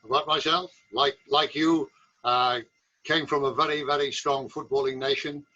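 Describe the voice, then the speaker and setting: An elderly man with an English accent and a husky, mid-pitched voice talks as an interview guest. He explains things in a measured, reflective way. The sound is a little dull. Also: fairly even intonation, bright, forceful tone. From England. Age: over 75. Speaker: veteran football coach, interview guest.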